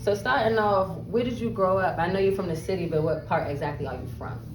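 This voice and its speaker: A woman's voice: fairly low pitch, fast talker, noisy background. A woman hosting a casual interview, asking questions in an informal, slangy way. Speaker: interview host. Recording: roomy, distant mic, loud music behind.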